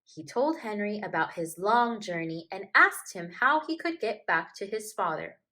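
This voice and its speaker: A woman teacher reading a picture book aloud to young children in an expressive, emphatic, deliberate way. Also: medium pitch. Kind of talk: animated children's storybook narration.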